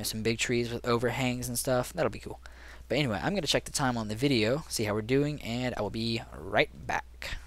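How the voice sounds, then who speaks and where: Raspy-voiced man chatting while gaming. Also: medium pitch. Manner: rambling, chatty, many ums. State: sore throat. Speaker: gaming channel host. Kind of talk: casual let's play commentary.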